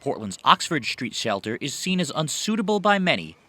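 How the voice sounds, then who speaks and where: Animated, higher-pitched male news reporter, American accent. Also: a little fast, a little hiss or hum. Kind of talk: scripted news narration.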